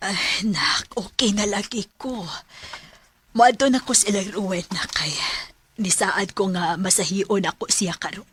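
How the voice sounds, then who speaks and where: A woman's voice: mid-range voice, measured pace, a bit bright, slightly rough, no room sound. A woman with a Filipino Cebuano accent playing a mild, weary middle-aged mother in a radio drama. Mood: weary, reassuring.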